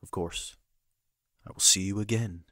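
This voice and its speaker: A man playing a tall, courteous, devoted gentleman lover in a romantic audio drama, speaking formally and tenderly. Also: deep voice, steady tone, a little slow, no room sound, studio quality. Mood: formal, reserved.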